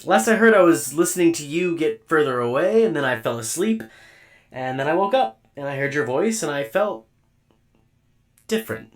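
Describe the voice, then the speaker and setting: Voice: medium pitch, animated, moderate pace, natural voice. An actor voicing a mild, bemused robot companion in a radio drama, hesitant and plainspoken. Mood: bewildered. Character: mild, bemused robot companion who just gained legs.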